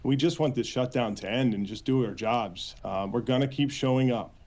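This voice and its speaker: Earnest American man, halting interview delivery. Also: medium pitch, a little mellow. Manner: halting, hesitant phrasing with frequent repeats, earnest. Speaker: union official, interview guest. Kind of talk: spoken news interview answers.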